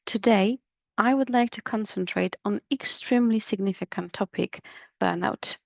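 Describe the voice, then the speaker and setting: A woman's voice: medium pitch, moderate pace, gravelly, no echo, dull audio. A woman with a Polish accent, a business English trainer explaining a topic on her podcast in a thoughtful way, with many fillers and hedges.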